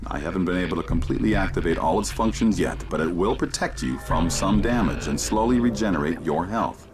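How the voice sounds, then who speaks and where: Male actor as cryptic informant, deep-set voice, grave, earnest, measured, game dialogue, heavy background music. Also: lightly acted. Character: mysterious grave male informant, urgent secret ally. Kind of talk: video game cutscene acting. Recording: dialogue under loud music.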